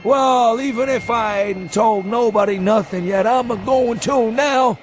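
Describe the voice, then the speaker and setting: An actor playing a frightened, rambling old drunkard with a folksy rural New England accent in a radio horror reading. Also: male voice, measured pace, faint music behind. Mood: agitated, badgering. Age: older. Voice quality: shrill when agitated. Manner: rambling folksy speech, badgering and insistent.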